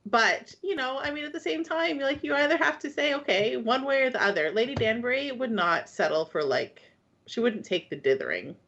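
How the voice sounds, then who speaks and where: A woman's voice: high voice, brisk. A woman co-hosting a podcast, chatting casually with jokes and playful emphasis. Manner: animated, jokey, swears lightly, stretches words for effect. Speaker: podcast co-host. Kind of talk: casual chat. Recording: slightly dull lo-fi sound.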